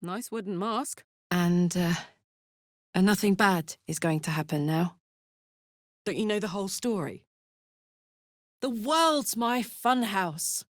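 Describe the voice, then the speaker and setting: A woman's voice: mid-range voice, lively intonation, slow pace, pauses often, no room sound, studio quality. Brash, battle-hardened fantasy warrior woman. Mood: matter-of-fact. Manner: blunt, slangy, swearing, swaggering. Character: brash, battle-hardened, big-hearted tiefling barbarian woman. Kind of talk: video game voice acting.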